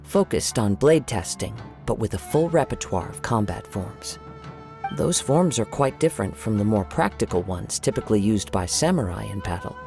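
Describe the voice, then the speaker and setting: A man playing a calm, courteous young wandering swordsman in a video game, gentle and reflective in formal wording. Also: fairly low pitch, normal speed, vocal fry, faint music behind. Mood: thoughtful, puzzled.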